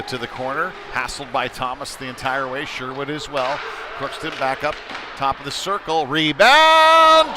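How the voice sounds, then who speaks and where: A man giving conversational live play-by-play commentary of a youth hockey game, ready to break into excited calls. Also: mid-range voice. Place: indoor ice rink during a game. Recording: clearly noisy sports rink behind voice.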